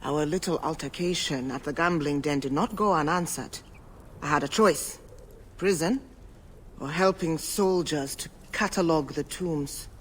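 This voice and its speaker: A man's voice: a little high, unhurried, slight background noise, natural voice. An actor playing an anxious, scholarly and opportunistic antiquarian man of ancient Egypt, nervous and fussy, in a game cutscene. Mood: rueful. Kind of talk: dramatic game cutscene acting.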